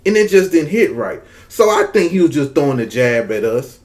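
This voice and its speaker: A man with a thick Mississippi Southern drawl talks straight to his viewers in a casual commentary. His voice sits a little high, and he hammers his points home by repeating them. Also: very animated, normal speed. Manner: drawling, emphatic, repetitive. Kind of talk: casual opinion commentary to camera.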